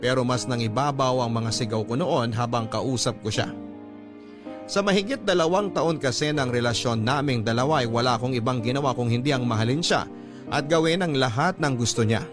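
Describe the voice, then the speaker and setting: Filipino man narrating dramatically over music. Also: mid-range voice. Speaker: radio host reading listener's letter. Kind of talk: scripted dramatic story narration. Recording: music bed under the voice.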